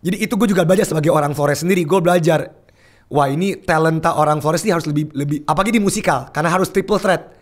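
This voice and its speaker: A young man chatting casually as a podcast guest, telling an animated story and mixing English phrases into his speech. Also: a little high, very fast. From Indonesia.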